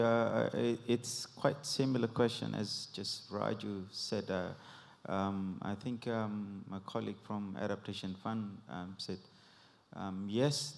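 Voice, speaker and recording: Halting man questioning from the floor. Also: lowish voice, creaky. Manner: halting, many fillers, searching for words. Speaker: audience member asking a question. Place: conference hall. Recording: long reverb in a hall.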